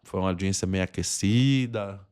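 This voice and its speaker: A man's voice: deep voice, normal speed, darkish tone, smooth. A man with a Brazilian accent hosting a podcast, explaining things earnestly and addressing listeners directly. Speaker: podcast host and institute representative.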